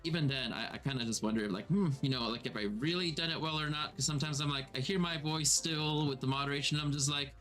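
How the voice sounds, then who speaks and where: A man chats on a home stream with his voice processed a little lower and more resonant. He rambles casually and pokes fun at himself, filling pauses with plenty of likes and ums. Faint music plays underneath. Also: fairly bright tone. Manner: chatty, self-deprecating, full of fillers. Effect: voice processed slightly lower and more resonant. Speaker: streamer and game master.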